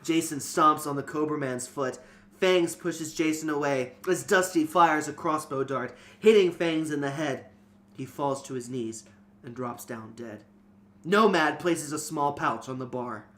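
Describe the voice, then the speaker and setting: A man's voice: a little high, unhurried, fairly bright tone. A man co-hosting a reading show, narrating a fantasy story and discussing it casually. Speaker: narrator and co-host.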